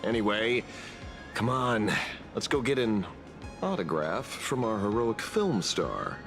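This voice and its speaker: Deep-voiced, sly veteran investigator. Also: male voice, very animated, slow, exaggerated voice. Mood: playful. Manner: casual, wry, theatrical teasing. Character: sly, teasing veteran male investigator with informants. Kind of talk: video game voice acting. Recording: music behind the voice.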